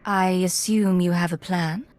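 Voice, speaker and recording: An actress playing a composed, quietly sympathetic secret agent in a video game, understated and formal. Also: female voice, fairly low pitch, fairly even intonation, a little slow, lightly acted. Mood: cool. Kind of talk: video game drama acting.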